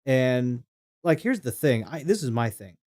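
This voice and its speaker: A man's voice: mid-range voice, lively intonation, a little slow, a little mellow. A man streaming a game, talking casually and rambling through opinions, with frequent restarts and chat comments read aloud.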